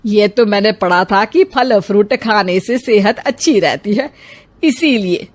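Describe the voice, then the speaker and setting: Woman acting a proud, slow-talking mother-in-law. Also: medium pitch, lightly acted. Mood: self-justifying. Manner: chiding, boastful. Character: proud, stubborn older mother-in-law, chiding and self-justifying.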